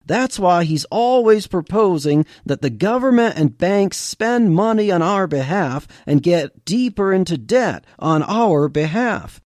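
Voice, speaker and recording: A man voicing a calm know-it-all who explains things patiently in an audio story. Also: high-pitched, no room sound, studio quality. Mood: explaining. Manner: explanatory, measured.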